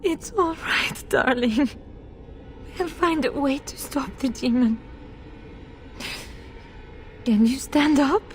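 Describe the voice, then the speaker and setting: High-voiced, desperate, pleading mother. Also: slight background noise. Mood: anxious tenderness. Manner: pleading, emotional, halting. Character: desperate, grieving noble mother pleading for her son. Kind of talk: dramatic video game voice acting.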